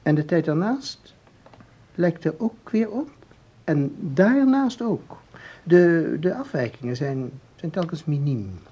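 An actor playing a calm researcher in a Dutch radio play, explaining formally and deliberately with small hesitations. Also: male voice, a little high, breathy edge, lo-fi sound, heavily acted. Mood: lecturing. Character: calm, explaining male researcher of time anomalies.

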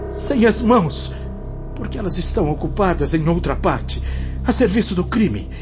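A tormented male spirit wracked with guilt in a radio drama. His solemn, declamatory delivery swings widely in pitch. The sound is dull, with clear music underneath. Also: lively intonation, moderate pace. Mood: anguished dread. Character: anguished repentant male spirit, tormented murderer.

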